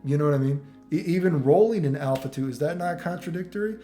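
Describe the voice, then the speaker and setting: A man with a slightly high but soft-edged, mellow voice rants casually as a gaming commentator. He rambles, swears and states opinions bluntly. The room is noticeably echoey. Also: quick pace, soft background music. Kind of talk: casual off-the-cuff ranting game commentary.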